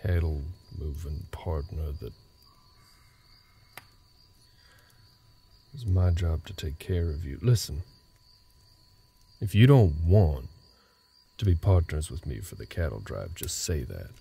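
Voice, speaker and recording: A gruff, guarded cowboy acted by a man with a very dark, low voice that rumbles in an intimate audio roleplay. He talks tersely in folksy ranch-hand phrasing, with crickets chirping faintly behind him. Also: expressive, measured pace, a little hiss or hum, heavily acted. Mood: exasperated. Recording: nighttime cricket ambience behind voice.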